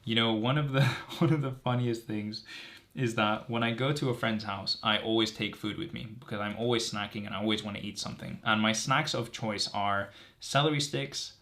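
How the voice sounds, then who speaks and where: A young man with a fairly low voice talks casually, as if straight to his viewers. He is from Greece and works through his points earnestly. He leans on key words and often repeats them for stress. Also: steady tone, moderate pace, fairly bright tone. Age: 20s. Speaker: medical student vlogger. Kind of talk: casual direct-to-camera chat, answering assumptions.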